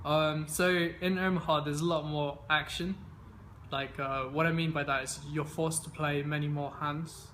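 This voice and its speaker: Young man in his twenties from England, easygoing interviewee, bright tense tone, fairly high and flat, faint background hum. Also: moderate pace. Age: 20s. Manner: easygoing, many fillers and restarts. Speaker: interviewee, games player. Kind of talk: casual interview answers.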